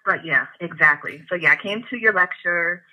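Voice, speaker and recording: A woman's voice: lowish voice, moderate pace. A woman from Connecticut with a New England accent, calling in to a talk show and chatting in a friendly, rambling way with many fillers. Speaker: caller. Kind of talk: phone call to a talk show. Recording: tinny phone line.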